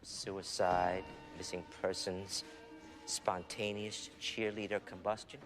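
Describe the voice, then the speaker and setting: Authoritarian American school principal, middle-aged, low pitch, disdainful, TV drama with music underneath. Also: male voice, little pitch movement, slow. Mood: stern disdain. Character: stern, middle-aged male school principal who dislikes kids. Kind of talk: TV drama acting. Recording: TV show audio with background music.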